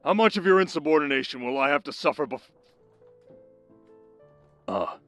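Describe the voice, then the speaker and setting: A man's voice: on the high side, lively intonation, moderate pace, fairly bright tone, no echo, faint music behind. A man playing a wry, authoritative baron with cold menace and formal speech in an audio roleplay drama. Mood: wry, stressed. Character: commanding, sardonic baron, coldly menacing schemer husband.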